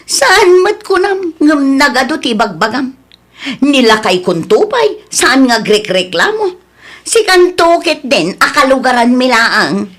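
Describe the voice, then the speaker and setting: An actress as a scolding village woman in a radio comedy drama. Her voice is high and swings widely in pitch. She exclaims and challenges with indignation. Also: sing-song, a little slow, a bit bright, full character voice. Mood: indignant scolding.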